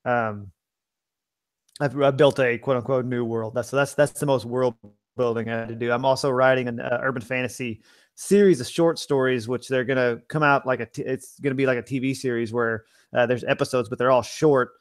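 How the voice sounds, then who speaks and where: A man of average pitch chats casually as a podcast co-host. His phrasing is measured and a little halting. The recording is dry, with little room sound. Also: fairly even intonation, no echo. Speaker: podcast co-host and fantasy author.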